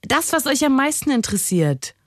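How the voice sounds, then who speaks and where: A woman's voice: high-pitched, big pitch swings, moderate pace, no room sound, clean studio sound. A woman hosting a radio call-in show, chatting casually with a playful, teasing, informal manner. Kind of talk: casual late-night phone-in chat.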